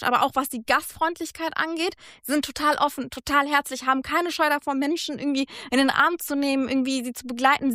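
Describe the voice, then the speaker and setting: A woman's voice: sits high, sharp tone, dry recording, clean studio sound. A woman born and raised in Germany, speaking earnestly and reflectively in a casual conversation. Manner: earnest, reflective, speaks in long thoughtful runs. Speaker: guest.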